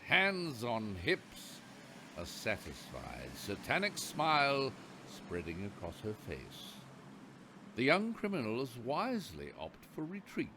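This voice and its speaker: Theatrical male narrator with hugely animated delivery. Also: medium pitch, background noise. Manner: theatrical, wry, deliberate emphasis. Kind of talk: dramatic scripted radio play narration.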